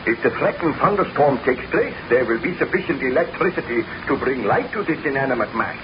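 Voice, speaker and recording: An actor playing an obsessed, exhausted baron scientist with grandiose, deliberate delivery in an old radio drama. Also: male voice, mid-range voice, big pitch swings, natural voice. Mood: tense excitement. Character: obsessed, exhausted baron scientist recounting his dark story. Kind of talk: 1930s radio drama acting. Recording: noisy, muffled 1930s radio broadcast.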